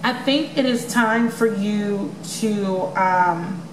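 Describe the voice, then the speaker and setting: A woman's voice: mid-range voice, slow pace. A woman giving a casual tarot love reading to her viewers, chatty and full of ums, with a voice that may crack from overuse. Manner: chatty, many ums, addresses viewers as you guys. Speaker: tarot reader. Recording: echoey room with noisy background.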